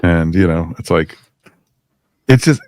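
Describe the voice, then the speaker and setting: A man's voice: sits low, lively intonation, unhurried, a little mellow, no room sound. A man hosting a podcast, chatting casually in an enthusiastic, jokey way. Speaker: podcast host.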